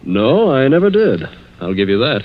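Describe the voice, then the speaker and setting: An actor playing a bitter, desperate, struggling chemist in a 1940s radio mystery, halting and earnest. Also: male voice, mid-range voice, big pitch swings, lightly acted. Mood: guarded, bitter. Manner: halting, earnest, brooding delivery. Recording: muffled, noisy 1940s radio recording.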